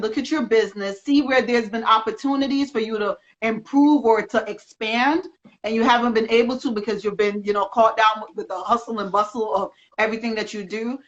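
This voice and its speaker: A woman giving energetic, rambling encouragement in a casual live video chat, speaking as a magazine representative. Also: fairly high pitch. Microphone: video call. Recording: dull lo-fi sound.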